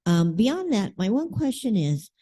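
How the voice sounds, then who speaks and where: A woman's voice: medium pitch, big pitch swings, measured pace, mellow. A woman from a congregation giving an informal comment in a group discussion.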